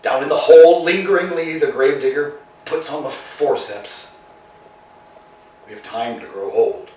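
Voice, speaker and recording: An actor playing an anxious, philosophizing tramp in a staged reading, swinging from hushed musing to emphatic outbursts. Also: male voice, on the high side, moderate pace, a little hiss or hum, natural voice. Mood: brooding. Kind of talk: amateur staged play reading. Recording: echoey room, distant mic.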